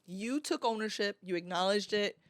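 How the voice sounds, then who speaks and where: A woman's voice: mid-range voice, normal speed, no room sound, studio quality. A woman hosting a podcast in casual chat, who acts out little quotes as she talks.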